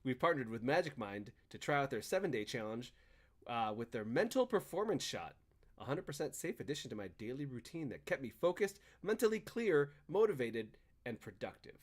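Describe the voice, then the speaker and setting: A man's voice: medium pitch, sing-song, a little fast. A man hosting a podcast, talking in casual banter and chatty ad reads with an emphatic, swinging delivery. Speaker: podcast and stream host.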